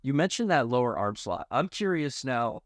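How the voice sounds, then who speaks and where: A man's voice: mid-range voice, very animated, normal speed, no room sound, studio quality. A man co-hosting a sports podcast, asking long, conversational, somewhat rambling questions. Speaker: podcast co-host and interviewer.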